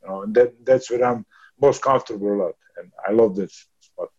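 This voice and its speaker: A man's voice: fairly low pitch, normal speed, a little mellow, dull audio. Swearing man in his thirties, laughing often. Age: 30s. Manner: rough, swearing, laughs often, calls others brother. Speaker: boxer. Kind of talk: casual interview.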